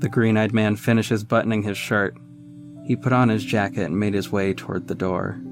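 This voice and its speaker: A man's voice: on the low side, little pitch movement, moderate pace, over music, close to an everyday voice. A man narrating an audio drama as its reflective hero, in scripted storytelling style. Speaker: narrator and protagonist.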